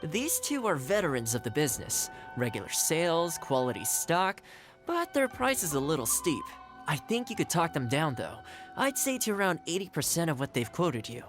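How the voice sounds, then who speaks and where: An actor playing a courteous, shrewd young male trade adviser in a video game, speaking in a polite, articulate, measured way. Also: a little high, big pitch swings. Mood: polite, advising. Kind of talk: scripted game character dialogue. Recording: music behind the voice.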